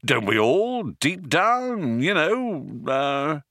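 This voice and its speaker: A man voicing a quick-witted, panicky male traveler who bluffs urgently and hesitantly in a storybook. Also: a little high, very animated, a bit bright, no echo, studio quality, pitched above the performer's own voice, heavily acted. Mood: nervous agreement.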